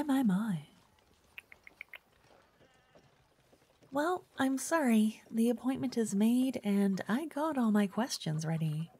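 Woman acting a soft-voiced, pushy, obsessive fangirl. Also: on the high side, husky, no room sound. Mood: eager, gushing. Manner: chatty, coy, insistent, slipping into whispers. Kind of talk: ASMR roleplay.

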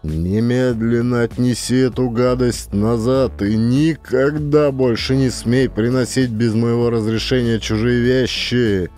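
A man's voice: mid-range voice, fairly even intonation, a little slow, hardly any pauses, darkish tone, faint music behind, natural voice. A man voicing a pedantic, reproachful middle-aged father in an audiobook comedy. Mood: stern.

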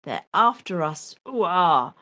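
A woman voicing a bold, excitable storybook pirate captain, calling out in loud exclamations. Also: lowish voice, takes it slowly, exaggerated voice. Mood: alarmed.

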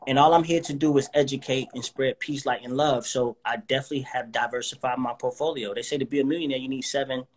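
A man in his thirties, calling in to a live video chat from his living room. He talks with confidence and a preacher's drive, at a medium pitch. The sound is plain phone or webcam audio. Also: fairly even intonation, quick pace, hardly any pauses, slight background noise. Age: 30s. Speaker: guest artist. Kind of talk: casual interview over live video. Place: indoors, living room with couch.

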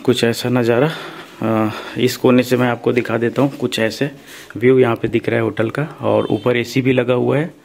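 Man, travel vlogger, middle pitch, easygoing and hesitant, handheld phone, background noise. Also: flat delivery, normal speed, vocal fry, darkish tone. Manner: easygoing, with frequent hesitation sounds. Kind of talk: casual travel vlog narration. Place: hotel room and roadside at night.

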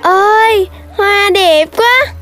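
A woman's voice: very high-pitched, very slow, over music, exaggerated voice. A woman voicing a timid little animal child in a children's cartoon, childlike. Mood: dreamy. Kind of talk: children's cartoon dub acting.

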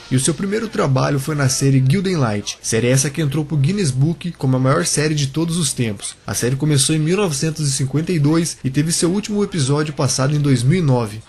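A man's voice: fairly high pitch, quick pace, few pauses, a little mellow, slight background noise. A man narrating a biography video for a YouTube channel, speaking casually and directly to his viewers.

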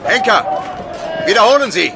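High-voiced, stern, declaiming prosecutor. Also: male voice, very animated, moderate pace, creaky, heavily acted. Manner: declaiming commands. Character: stern, imperious male French state prosecutor. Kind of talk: crime radio play acting. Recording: loud outdoor crowd noise.

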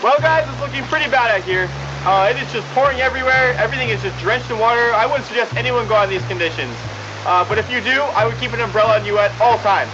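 A young man playing a flustered student weatherman giving a hurried, excitable on-site report. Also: very high voice, noisy background. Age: young adult. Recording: dull lo-fi 1990s field broadcast.

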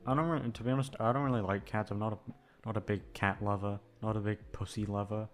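A man's voice: fairly low pitch, fairly even intonation, fast, soft background music. A man rambling in casual chat to his listeners, hesitant, repetitive, sweary and self-deprecating. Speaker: audio creator addressing listeners.

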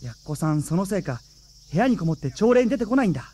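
Blunt cheeky high school boy, lively high voice, radio drama. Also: fast, slight background noise. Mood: gossipy, blunt.

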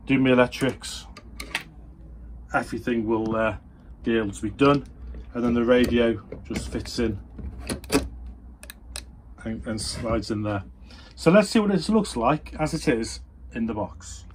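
A man's voice: medium pitch, expressive, measured pace, pauses often. A middle-aged British man talking casually and unscripted, with some hesitation, as he demonstrates something hands-on in a small workshop. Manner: unscripted, hesitant, thinking aloud. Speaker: hobbyist presenter. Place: small wooden workshop, indoors. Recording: noisy room ambience, handheld camera. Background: clear background noise.

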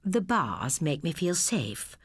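A woman voicing a kindly, granny-like old shopkeeper in an audiobook, cosy and unhurried. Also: on the low side, sing-song, breathy edge, dry recording, full character voice. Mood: cosy, confiding.

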